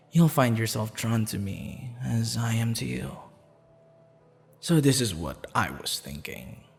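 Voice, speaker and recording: Man acting a slow, flirtatious assassin with lively intonation. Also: lowish voice, gravelly, full character voice. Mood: confident, courting. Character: flirtatious, courteous male assassin, smitten and slightly sheepish. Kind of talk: ASMR roleplay, intimate scripted monologue. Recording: long reverb, music behind.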